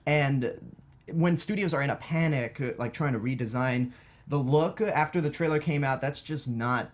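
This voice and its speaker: A man with an average-pitched voice in a casual review discussion. He explains his opinions conversationally, often restarting a phrase before getting to the point. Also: normal speed. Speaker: film reviewer on a panel.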